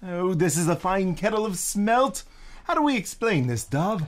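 Prim witty sidekick, as a man plays him, arch theatrical phrasing, lively swooping pitch, 1950s radio drama style. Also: normal speed. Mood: fretful. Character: Rembrandt, genteel fussy male sidekick, witty and prim.

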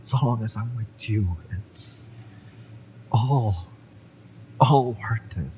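Man acting as slow, impassioned mercenary lover. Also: medium pitch, warm tone, a little hiss or hum, lightly acted, low-quality recording. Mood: exasperated, devoted. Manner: impassioned, emphatic, halting delivery with breathy drops. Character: fiery, devoted male mercenary lover, tough yet tender. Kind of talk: romantic audio roleplay acting.

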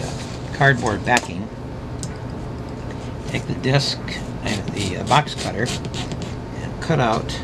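Gravelly low-voiced man over loud background noise. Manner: unscripted, halting, step-by-step explaining. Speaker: craft instructor demonstrating scroll saw work. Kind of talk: informal hands-on how-to demonstration. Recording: loud ambient hum and workshop noise.

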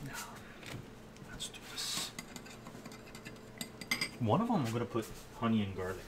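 A man's voice: fairly low pitch, unhurried, background noise. An older man live-streaming his cooking from a home kitchen, chatting and reading comments as he works. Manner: relaxed, chatty, reads chat aloud while cooking. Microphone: camera at distance. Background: clattering dishes and cutlery.

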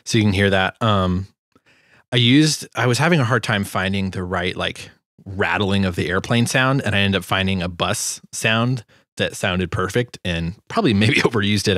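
A man in his twenties talking casually through his sound design work, relaxed and self-deprecating, close to the microphone. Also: low voice, no room sound. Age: 20s. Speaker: sound designer hosting a tutorial. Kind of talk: casual screen-share walkthrough. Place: small home studio room. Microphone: close boom-arm microphone.

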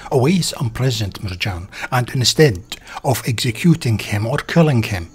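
A man's voice: fairly low pitch, a little hiss or hum. A man reads a scripted historical narration as a documentary narrator. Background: background music.

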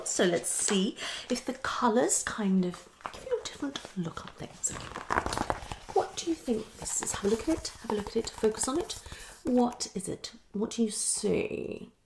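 A woman's voice: medium pitch, gravelly, background noise. A young woman playing a psychologist in an improvised ASMR roleplay, questioning and hesitant, often repeating short phrases. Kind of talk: fast unscripted ASMR roleplay. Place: small home room, indoors.

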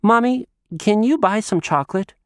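A man's voice: moderate pace, clear, resonant tone, no echo, studio quality. A man putting on a bubbly little girl. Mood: curious. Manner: high excited childish calls. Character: lively little girl, curious and chatty.

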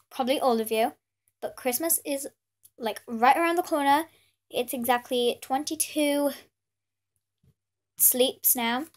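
High-voiced British teen girl with a cold. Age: teens. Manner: rambling, full of fillers and apologies. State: has a cold, sniffly. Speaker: pet channel host. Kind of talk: casual haul vlog. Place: indoors at a table with Christmas decorations. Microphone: camera filming her hands from above.

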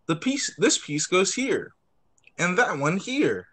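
A man voicing an eager little boy playing with toy trains in a picture book, excited and simple in his delivery. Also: high-pitched, slow pace, full character voice. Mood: excited, bossy.

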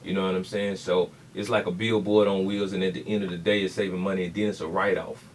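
A man's voice: low-pitched, level tone, fast talker, slight background noise. A man with a Louisiana Southern accent, chatting in a laid-back, agreeable way as a guest on a radio show. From Baton Rouge, Louisiana. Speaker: rapper, interview guest. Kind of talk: casual interview chat. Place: small radio studio with people around. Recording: distant camera audio in studio.

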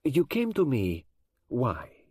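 A man's voice: mid-range voice, wildly swooping pitch, takes it slowly, darkish tone, breathy edge, dry recording, studio quality, pitched above the performer's own voice, exaggerated voice. A man playing a precise, formal old detective. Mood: measured. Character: fussy, precise, elderly foreign male detective.

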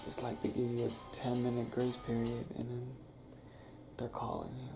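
A young man in a soft, breathy, sleepy murmur, often near a whisper, speaking intimately in an ASMR roleplay as a partner just waking up. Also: medium pitch, completely flat delivery, normal speed, over music. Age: young adult. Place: small indoor bedroom, lying on a bed.

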